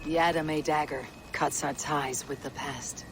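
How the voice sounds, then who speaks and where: A woman's voice: low voice, steady tone, a little slow, noisy background. An actress playing a stern, proud Viking warrior woman, terse, commanding and formal, in a video game cutscene. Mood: composed.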